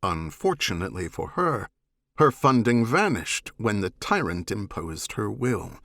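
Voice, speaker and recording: Man as a cool, measured alien enforcer. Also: on the low side, big pitch swings, creaky, a bit bright, dry recording, clean studio sound, exaggerated voice. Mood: explanatory. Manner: measured, formal, unhurried. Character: cool, evasive alien oath-bound bounty hunter, quietly defiant. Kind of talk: audiobook character voice, science fiction.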